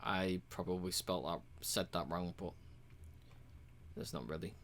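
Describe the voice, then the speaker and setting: A man casually walking through a game's achievements, relaxed and rambling, with frequent ums and uhs. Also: low voice, a little slow. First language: English. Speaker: game reviewer.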